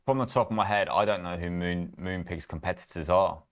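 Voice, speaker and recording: A young man with a very low voice chats about companies and stocks as co-host. He is relaxed and offhand, with casual phrasing. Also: a little fast. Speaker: co-host at a trading firm. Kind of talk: casual chat.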